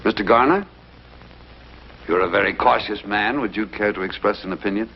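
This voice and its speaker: A man with an American accent playing a courteous, hesitant government official in an old TV drama, speaking formally and haltingly. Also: on the low side, lightly acted. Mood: measured, courteous. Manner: halting, deliberate, formal and polite. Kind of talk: mid-century American TV drama acting. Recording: dull, noisy vintage TV soundtrack.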